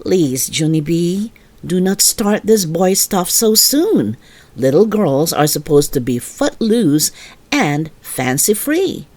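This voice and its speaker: A woman voicing a patient, gently firm mother in a children's storybook, soothing and reasoning. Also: on the low side, sing-song, takes it slowly, pitched below the performer's own voice, full character voice.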